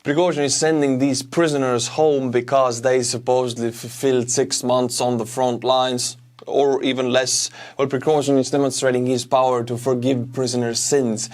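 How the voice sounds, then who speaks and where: A man with a medium-pitched voice hosts a news commentary. He is casual yet blunt and opinionated. He puts firm emphasis on his points as he talks. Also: flat delivery, normal speed, a little mellow. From Estonia. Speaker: host of war update show.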